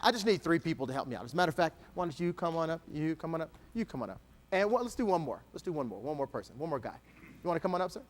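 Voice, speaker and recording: A man from Texas giving a motivational keynote speech to an audience, playful and joking, slowing down for emphatic lines. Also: a little high. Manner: animated, dramatic pauses, slow emphatic dictation. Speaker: motivational speaker.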